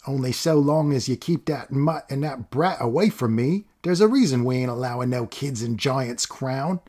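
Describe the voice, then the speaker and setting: A man's voice: medium pitch, moderate pace, darkish tone, lightly acted. A man voicing a grubby, greedy male street driver who bullies a boy, in a coarse, jeering manner. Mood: menacing.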